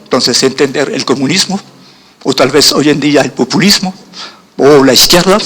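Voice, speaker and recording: A middle-aged man gives a lecture in a language that is not his own. His voice sits a little high, and he pauses to hunt for words, often checking in with the room before he goes on. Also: a bit bright. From Europe. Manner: halting, searches for words, checks in with "¿sí?". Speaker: guest lecturer. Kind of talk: keynote lecture.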